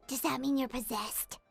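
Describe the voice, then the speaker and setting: An excitable little fairy girl, with an extremely high, squeaky voice that swoops wildly. She is chatty and curious in an anime game manner, with music playing clearly behind her. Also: full character voice. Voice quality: squeaky, childlike. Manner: speaks of herself in third person, exclamatory.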